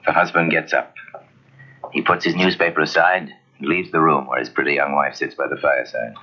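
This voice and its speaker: An actor playing a sly, knowing narrator of an old radio murder mystery, theatrical and insinuating. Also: male voice, very deep voice, fairly even intonation, brisk, muffled recording. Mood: ominous. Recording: old radio recording, music behind.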